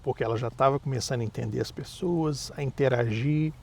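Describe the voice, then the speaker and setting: A man's voice: medium pitch, brisk, gravelly, slight background noise. A man in his thirties with a Brazilian Portuguese accent, chatting casually as a father and co-host, often agreeing with his partner. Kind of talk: casual chat.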